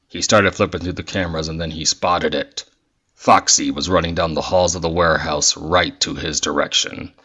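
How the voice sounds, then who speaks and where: A man's voice: extremely low pitch, fairly even intonation, moderate pace, pushed and bright, breathy edge, muffled recording. A man reading scripted story narration for an audio drama.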